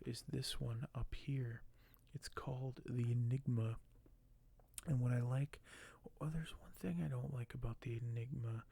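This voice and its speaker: A man speaking softly and gently for an ASMR video, sometimes close to a whisper, with a halting delivery as host. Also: medium pitch, creaky, husky. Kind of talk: soft-spoken ASMR, partly whispered; reading aloud and commenting on collectibles.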